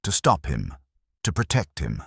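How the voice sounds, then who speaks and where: A man voicing an anxious, well-bred wife in a detective story, husky, earnest and polite. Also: extremely low pitch, huge pitch swings, a little slow, fairly bright tone, no echo, studio quality, heavily acted.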